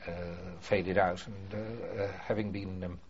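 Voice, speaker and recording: An older man being interviewed about his past, speaking formally and hesitantly with many pauses and fillers. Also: low voice, little pitch movement. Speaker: former prisoner of war, interviewee. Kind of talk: oral history interview. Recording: muffled archive recording with faint hiss.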